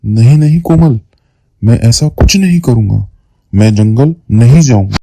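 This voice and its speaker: A man acts out a henpecked husband in a cartoon folk tale. The voice is very dark and muted in tone, middling in pitch and dry, with a plain-spoken delivery. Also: unhurried, gravelly, dry recording. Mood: reluctant, placating. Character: reluctant henpecked husband, blustering when threatening. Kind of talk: Hindi cartoon moral story dialogue.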